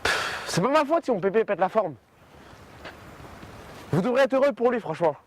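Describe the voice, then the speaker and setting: A man's voice: high-pitched, sing-song, normal speed, a little hiss or hum. Man playing a broke, pleading man. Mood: exasperated. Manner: pleading and exasperated. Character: broke, desperate man begging about money.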